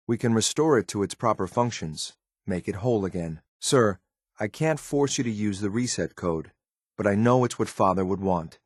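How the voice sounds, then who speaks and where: An actor playing a stern, loyal synth enforcer in a video game, speaking in a clipped, formal, deferential military manner. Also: male voice, fairly low pitch, no room sound, clean studio sound, natural voice. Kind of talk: video game companion dialogue.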